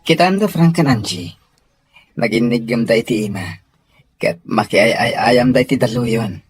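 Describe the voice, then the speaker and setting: A man's voice: medium pitch, animated, unhurried, husky, soft background music. A man playing an easygoing, generous young employer in an Ilocano radio drama. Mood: teasing, casual.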